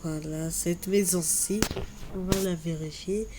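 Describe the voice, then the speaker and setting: A woman with a cold and a stuffy voice, chatting slowly through a building game, often repeating herself. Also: on the low side, steady tone, background noise. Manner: slow, repeats words while building. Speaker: Minecraft YouTuber. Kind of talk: casual gameplay commentary.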